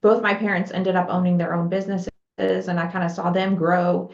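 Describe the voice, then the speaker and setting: A woman from Texas, a guest entrepreneur, chatting casually in a relaxed way, with many fillers. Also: fairly low pitch, a little fast. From Texas, USA. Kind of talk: casual interview chat. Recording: echoey, dull lo-fi remote audio.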